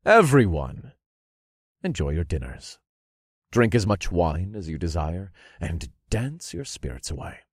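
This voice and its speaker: A man voicing a triumphant dark lord in an audiobook, speaking in grandiose, commanding declarations. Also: deep voice, very animated, a little slow, husky, no echo, clean studio sound, full character voice.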